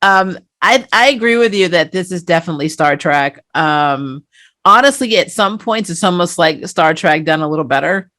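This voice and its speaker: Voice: medium pitch, expressive, moderate pace, pushed and bright. A co-host speaks in a casual review chat, sounding conversational and keen. The delivery is enthusiastic and informal, as in a discussion between friends. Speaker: pop-culture show co-host.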